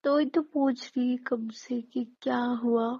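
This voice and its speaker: A woman's voice: on the high side, steady tone, slow, mellow, breathy edge, dry recording, full character voice. A woman playing a coy, questioning wife who banters and mildly protests in a casual at-home conversation. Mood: coy, bantering. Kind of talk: intimate Hindi role-played couple conversation. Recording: muffled, lo-fi, dry call-style recording.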